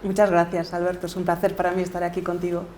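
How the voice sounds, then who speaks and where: A woman's voice: lowish voice, completely flat delivery, fast, a little mellow, a little hiss or hum. A middle-aged woman with a Galician accent, talking reflectively as a guest in an interview. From Galicia, Spain. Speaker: podcaster and coach, interview guest.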